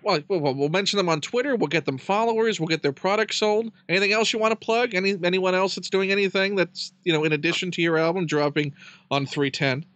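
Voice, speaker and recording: A man's voice: sits high, fast talker, fairly bright tone, no echo. A man chatting casually as an interviewer, bantering with dry, wry humor.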